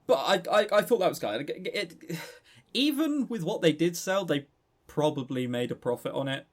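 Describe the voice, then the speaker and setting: A man with a southern English accent co-hosting a casual gaming news chat, animated, sardonic and sweary in manner. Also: a little high, normal speed. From southern England. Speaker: podcast co-host.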